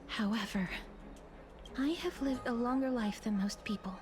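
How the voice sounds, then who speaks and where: A woman voicing a gentle, reserved and solemn video game heroine, speaking formally with a soft, hesitant manner. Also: a little high, moderate pace, noisy background, lightly acted. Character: gentle, solemn, long-lived cursed young-sounding woman, reserved. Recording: game audio with clear background music.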